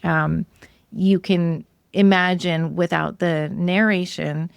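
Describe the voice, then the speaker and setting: Low-voiced woman with creaky fry, podcast host. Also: fairly even intonation, slow, no echo. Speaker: podcast host interviewing a guest. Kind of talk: casual conversational interview.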